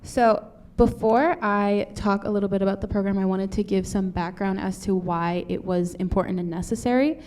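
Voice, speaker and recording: A soft-spoken young woman from Brazil, a doctoral student giving an earnest presentation into a microphone in a lecture room. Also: medium pitch, fairly even intonation, moderate pace, few pauses. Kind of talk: conference presentation. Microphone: handheld or podium mic.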